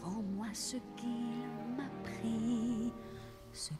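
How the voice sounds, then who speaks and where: A woman voicing a vain woman who sings a slow incantation over loud music. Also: fairly high pitch, steady tone. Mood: incanting. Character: vain woman singing a spell for youth.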